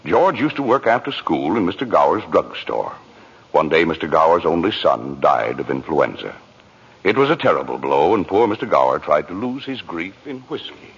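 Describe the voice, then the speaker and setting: An actor playing a calm, wise heavenly narrator telling a life story in an old-time radio drama, with a husky voice. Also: male voice, low voice, normal speed, exaggerated voice. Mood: solemn. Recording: muffled old radio recording with music.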